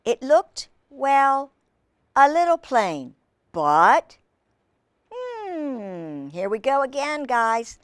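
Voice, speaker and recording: A woman's voice: high-pitched, very animated, extremely slow, smooth, no room sound, studio quality. A woman reading a picture book aloud to children, expressively, stopping to ask them questions and exclaim over the pictures. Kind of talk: expressive read-aloud with asides to young listeners.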